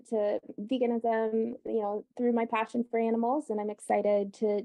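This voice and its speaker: A woman's voice: a little high, a little mellow. A woman from the US co-hosting a casual chat, upbeat and often laughing. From United States. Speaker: podcast co-host.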